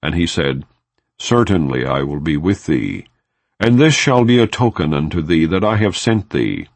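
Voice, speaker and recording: A man with a low, deep voice reads scripture as an audiobook narrator. His delivery is solemn and measured, with wide rises and falls in pitch. The sound is dry, with no room echo. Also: expressive. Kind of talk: non-dramatized scripture reading, scripted narration.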